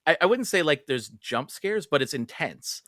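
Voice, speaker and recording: A man's voice: on the high side, very animated, normal speed, a bit bright, no room sound, clean studio sound. A man hosting a podcast, asking questions in a casual, conversational way. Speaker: podcast host, interviewer.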